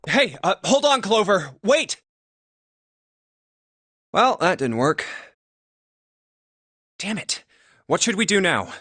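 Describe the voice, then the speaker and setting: A man's voice: sits high, very animated, slow, pauses often, bright, forceful tone, slightly rough, no echo, full character voice. A man voicing an earnest, easily baffled young hero in an anime-style game. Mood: concerned.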